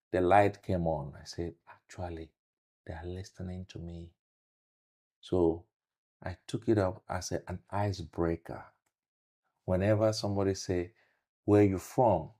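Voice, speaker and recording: Nigerian guy, realtor, deep low voice, tells his story earnestly. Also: warm tone. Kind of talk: interview answers.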